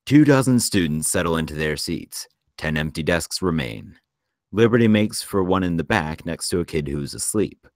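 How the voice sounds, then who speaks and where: A man narrating a screenplay at a table read in a measured storytelling delivery. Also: sits low, animated, no echo, clean studio sound. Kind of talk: scripted narration of stage directions.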